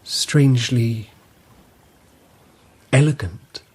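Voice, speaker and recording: An actor playing a dreamy, curious emperor in a radio drama, speaking in a measured, stately, wondering way. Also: male voice, mid-range voice, slightly rough. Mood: intent, fascinated.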